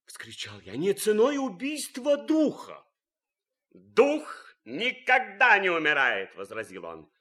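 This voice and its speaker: An actor playing an anguished, idealistic soldier torn by conscience in a radio drama, theatrical and swinging from hushed to fervent. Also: male voice, high-pitched, heavily acted. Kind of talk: radio drama acting, impassioned monologue.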